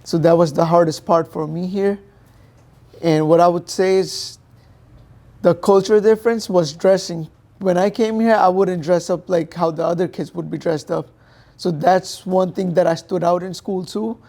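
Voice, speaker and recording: Young male guest, from Pakistan, native Urdu speaker; gravelly, dark tone, plain and unpolished delivery. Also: high-pitched, fairly even intonation, normal speed. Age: young adult. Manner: plain, unpolished, thinks aloud with fillers. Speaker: guest, professional boxer. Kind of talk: interview answers.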